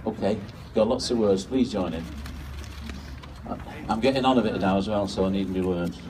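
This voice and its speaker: A man's voice: fairly low pitch, normal speed, distant mic, background noise. A middle-aged man hosting and leading an informal tribute at a public memorial gathering, in speech and unaccompanied song. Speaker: event host and lead singer at a memorial.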